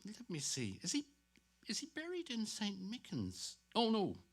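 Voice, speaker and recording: A man's voice: high voice, wildly swooping pitch, unhurried, exaggerated voice. An actor playing a vain, dapper middle-aged salesman who chatters to himself in a dramatic stage reading. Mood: musing. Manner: self-satisfied, muttering to himself between hearty greetings.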